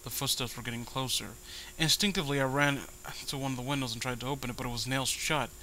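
A man's voice: mid-range voice, fairly even intonation, fast talker, sharp tone, a little hiss or hum, low-quality recording. A man reading a horror story aloud with dramatic delivery and sarcastic commentary. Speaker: host reading amateur horror stories.

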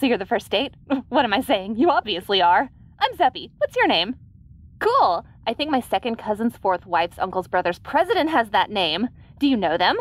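A woman playing a hyper, chatty young alien racer who rambles excitably in an audio roleplay. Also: a little high. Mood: bubbly, chatty. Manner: rambling, excitable, rapid topic jumps.

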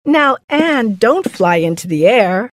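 A woman voicing a stern but indulgent older guardian, measured and matter-of-fact, in an animated children's story. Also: fairly high pitch.

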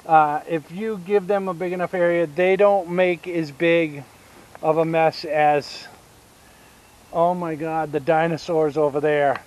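A man in his fifties with a Southern US accent, giving a casual outdoor tour of his homestead and explaining each step with pauses. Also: a little high, steady tone, a little mellow. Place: outdoors on rural land by a pine forest. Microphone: action camera held at arm's length.

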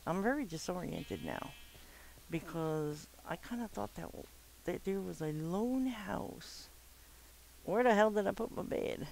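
Nasal, gravelly gamer rambling along. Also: mid-range voice, lively intonation, a little slow, a little mellow, slight background noise, low-quality recording. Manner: rambling, chatty, casual swearing, frequent fillers. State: stuffed-up from swimming. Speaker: gamer recording a let's play. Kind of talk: casual gameplay commentary.

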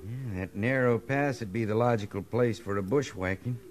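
Low-voiced, laconic trail hand played by a man. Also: moderate pace, natural voice. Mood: matter-of-fact. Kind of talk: 1950s western radio drama acting. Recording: noisy old-time radio recording.

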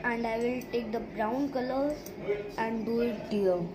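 A woman's voice: a little high, fairly even intonation, unhurried, heavy background noise. A woman giving a step-by-step digital drawing tutorial, speaking in simple, short, broken instructions over a screen recording. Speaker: art teacher. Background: background music.

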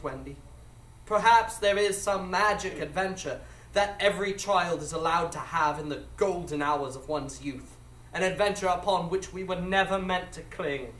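A man's voice: high voice, a bit bright. A man playing a melancholy, disillusioned man in a live radio drama, plaintive and florid in his speech. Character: Michael Darling, melancholy, disillusioned grown man. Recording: distant echoey live stage.

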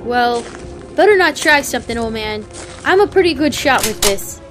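Wary, blunt young female drifter, played by a woman, slightly high voice, radio drama with music underneath. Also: expressive, normal speed, lightly acted. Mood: threatening, demanding. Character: tough, pushy, suspicious young armed drifter. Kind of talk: old-time radio horror acting. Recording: music behind the voice.

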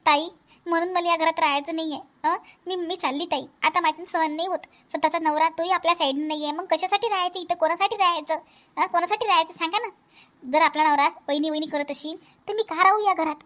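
Woman playing very high-pitched wronged cartoon wife. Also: fairly even intonation, fast talker, fairly bright tone. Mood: hurt, fed up. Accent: Vidarbha Marathi. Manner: plaintive, indignant. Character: wronged young wife, aggrieved and protesting. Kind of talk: animated family comedy acting.

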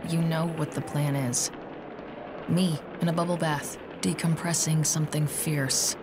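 A dry-witted young thief. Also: female voice, low voice, steady tone, measured pace, background noise. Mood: wry. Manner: dry, wry banter. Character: sly young woman thief, cool and witty. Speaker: game protagonist. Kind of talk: video game cutscene acting.

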